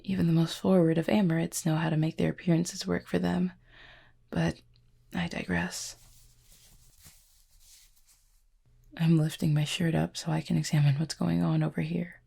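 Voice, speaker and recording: A woman playing an injured fallen cupid in an intimate roleplay audio, soft and breathy, with a formal, measured, dryly aloof but curious manner. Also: low-pitched, little pitch movement, heavily acted. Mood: formal, composed. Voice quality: soft, breathy, husky with fry. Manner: measured, formal, wordy phrasing.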